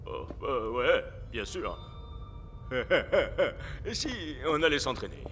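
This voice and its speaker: An actor playing a troubled, guilt-ridden footballer, hesitant and earnest, in French cartoon dubbing. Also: male voice, medium pitch, expressive, takes it slowly, over music. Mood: evasive.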